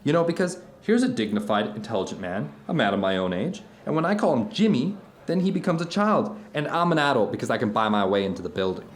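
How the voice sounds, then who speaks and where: Philosophical dinner companion, male actor, earnest and searching, widely varied pitch, emphatic, naturalistic audio drama. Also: sing-song, fast talker, hardly any pauses, lightly acted. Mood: urgent, philosophical. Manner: emphatic, searching, asks rhetorical questions. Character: earnest, philosophical man telling anecdotes over dinner, urging his friend.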